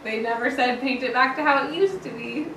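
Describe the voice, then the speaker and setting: Expressive high-voiced woman teacher in echoey room. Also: gravelly. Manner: expressive storytelling, slow and emphatic. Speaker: primary school teacher. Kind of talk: reading a picture book aloud to a class. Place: indoor classroom, children present. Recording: echoey classroom from far off, some noise.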